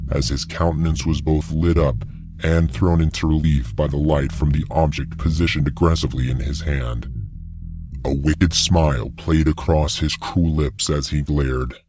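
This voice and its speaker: A man's voice: a bass voice, fairly even intonation, unhurried. A man giving a dramatic scripted narration of a fantasy audiobook. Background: music behind the voice.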